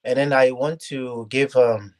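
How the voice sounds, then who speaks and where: A man's voice: medium pitch, steady tone, a little mellow. A young man from Ghana, talking earnestly as a guest in a casual interview, with frequent restarts. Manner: earnest, halting, many restarts.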